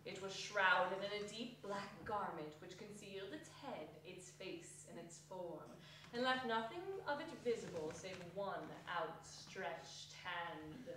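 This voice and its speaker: Woman, story narration, measured, solemn, strong hall echo, faint noise. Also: mid-range voice, sharp tone. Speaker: narrator in a dramatic reading. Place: theatre hall stage reading. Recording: echoey hall, distant mic, some noise.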